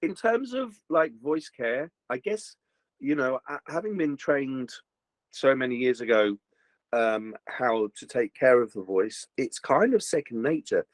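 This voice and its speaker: A man's voice: mid-range voice, expressive, unhurried, dry recording. Older man, rambling and dry. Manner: rambling, many "you know" fillers and restarts. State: slightly gruff after a recent virus. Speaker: voice actor guest. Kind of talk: casual interview chat.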